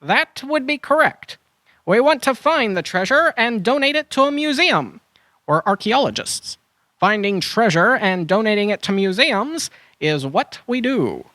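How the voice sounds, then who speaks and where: Woman playing a lively, cocky boy adventurer. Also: fairly high pitch, measured pace, no room sound. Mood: matter-of-fact. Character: boy adventurer, cheerfully overconfident schemer. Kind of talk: children's church audio drama.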